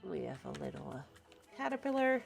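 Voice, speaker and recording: A woman's voice: low-pitched, big pitch swings, a little fast, vocal fry, faint music behind. Chatty woman streaming a game. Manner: chatty and opinionated, muttering to herself as she builds. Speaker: livestreamer. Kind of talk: casual chat while playing a building game.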